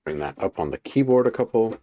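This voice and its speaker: A man's voice: on the low side, animated, a little fast, highs cut off, soft background music. A man hosting a casual livestream while making beats, chatting with viewers and thinking aloud as he works. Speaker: livestream host and music producer.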